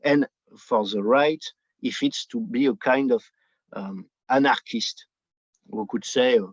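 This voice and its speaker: A man from France with a French accent, giving political commentary conversationally in halting non-native English, searching for his words. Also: medium pitch. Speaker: podcast guest, book editor. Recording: dull, roomy, lo-fi remote connection.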